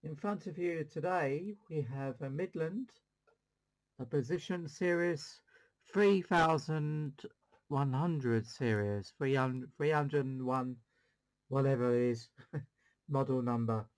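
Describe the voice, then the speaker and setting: A man's voice: on the high side, slow pace, low-quality recording. A man hosting a casual CB radio demonstration, rambling along and repeating his phrases. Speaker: CB radio channel host.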